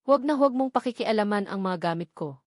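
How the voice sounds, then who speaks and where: A woman's voice: medium pitch, lively intonation, quick pace, a little mellow, dry recording, clean studio sound, natural voice. A woman voicing a bitter, guarded young man in an audiobook, speaking in terse, emphatic commands. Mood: stern, angry. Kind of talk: audiobook character dialogue.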